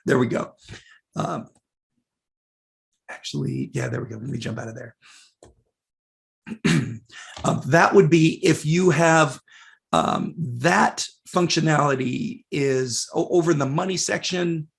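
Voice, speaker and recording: A man with a raspy voice, losing it and clearing his throat, casually presenting a live software webinar with many fillers. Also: a little high, slow pace, long pauses. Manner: casual, many fillers, reads out viewer questions.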